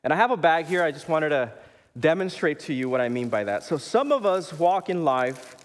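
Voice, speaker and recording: A man in his thirties, a pastor preaching a sermon in a relaxed, conversational way, often pausing with fillers and restarting his sentences. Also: a little high. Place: church sanctuary. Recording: live church hall with long reverb.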